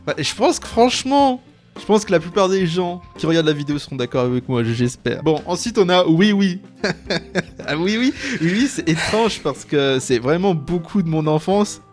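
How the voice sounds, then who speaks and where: A man's voice: on the high side, lively intonation, moderate pace, soft background music. A young man hosting a casual, playful, slangy chat that ranks childhood cartoons. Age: young adult. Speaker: YouTube host.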